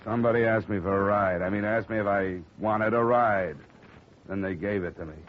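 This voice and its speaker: An actor playing a wry, battered, hard-boiled insurance investigator in a 1950s radio detective show, clipped and wisecracking. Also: male voice, fairly low pitch, a little fast, close to an everyday voice. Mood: groggy, wry. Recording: muffled, noisy vintage radio recording.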